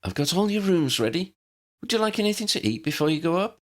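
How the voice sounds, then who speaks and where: Man putting on a high, hospitable woman innkeeper. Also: sing-song, fast talker.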